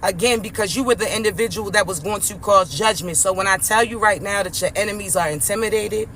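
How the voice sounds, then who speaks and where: A speaker with a raspy voice giving an emphatic motivational spiritual message to viewers, often checking in with the listener. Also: medium pitch, fairly quick, a bit bright, a little hiss or hum. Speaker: spiritual message content creator.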